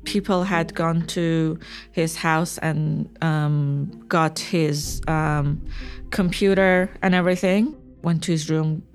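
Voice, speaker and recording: A young woman from Iran telling her own story in a relaxed, chatty way, with fillers and small laughs. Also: low voice, takes it slowly, faint music behind. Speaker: interviewee. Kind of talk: personal storytelling interview.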